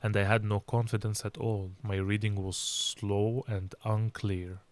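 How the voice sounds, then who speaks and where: A young man from Egypt with an Egyptian accent, an Arabic speaker telling his own story of learning English in a measured, reflective way for language learners. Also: on the low side, flat delivery. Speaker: English learning channel host.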